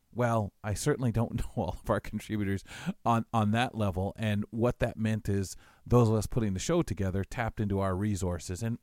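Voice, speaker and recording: A man's voice: lowish voice, a little mellow, dry recording, clean studio sound. A man hosting a radio show, talking to listeners in a casual, reflective, rambling way. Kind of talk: casual spoken commentary to listeners.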